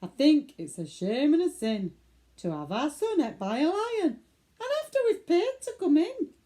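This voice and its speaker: A woman's voice: sits high, very animated, moderate pace, dark tone, pitched above the performer's own voice. Blunt, aggrieved Northern English father, voiced by a woman. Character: stolid, aggrieved, money-minded Northern father.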